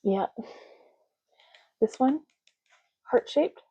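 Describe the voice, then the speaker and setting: Soft, breathy woman doing slow ASMR roleplay. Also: high-pitched, animated. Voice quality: soft, breathy, at times dropping to a whisper. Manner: gentle, unhurried, lingers on and repeats words. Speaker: ASMR creator playing an ID card clerk in a roleplay.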